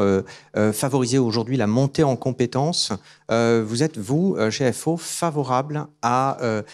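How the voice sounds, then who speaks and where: Male moderator, mid pitch, courteous and a bit halting, conference stage. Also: darkish tone. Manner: polite, halting, hands over between speakers. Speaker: panel moderator. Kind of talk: moderating a panel. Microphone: handheld mic.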